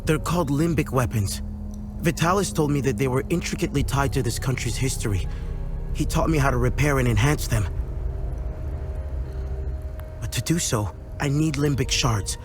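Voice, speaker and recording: An actor as a measured, earnest craftsman. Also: medium pitch, music in the background, lightly acted. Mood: matter-of-fact, instructive. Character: guarded, earnest male weapons craftsman, a loyal former apprentice. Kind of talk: video game dialogue acting.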